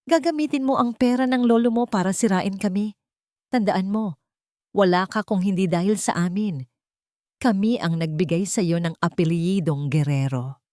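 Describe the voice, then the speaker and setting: A woman's voice: medium pitch, moderate pace, dry recording, studio quality, close to an everyday voice. A woman voicing an enraged, proud husband hurling accusations at his wife in an audio drama. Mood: angry, accusing. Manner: shouting accusations.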